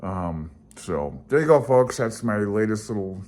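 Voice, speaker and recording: A man's voice: on the low side, lively intonation, a little slow, darkish tone. A man hosting a hobby channel, talking in a casual, rambling way with a folksy, self-deprecating manner. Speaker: hobbyist radio channel host. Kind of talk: casual rambling vlog talk.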